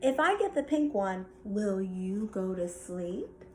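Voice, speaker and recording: A woman's voice: medium pitch, very animated, slow, darkish tone, pitched below the performer's own voice. A woman voicing an exasperated older brother coaxing his sister in a children's storybook.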